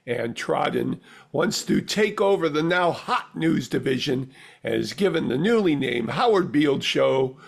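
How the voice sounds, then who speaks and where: Gravelly-voiced man reading a theater review. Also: fairly high pitch, expressive, measured pace. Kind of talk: scripted audio theater review narration.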